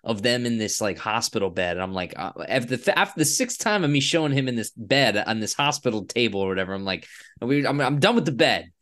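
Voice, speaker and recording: Male podcast co-host, average pitch, casual review talk, wry, halting, repetitive phrasing. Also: lively intonation, fairly bright tone. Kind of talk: casual chat reviewing cartoon episodes.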